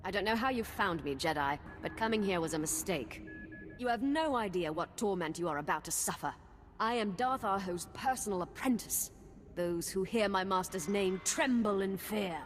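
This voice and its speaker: A woman's voice: mid-range voice, lively intonation, normal speed, a little hiss or hum, natural voice. An actress playing a cruel, proud female Sith apprentice in a video game, haughty and threatening. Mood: menacing. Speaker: villain. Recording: game audio with long reverb.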